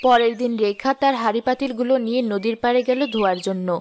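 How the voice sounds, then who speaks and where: A woman's voice: on the high side, quick pace, a little mellow, slight background noise, lightly acted. A woman storyteller reading scripted narration for a cartoon fairy tale.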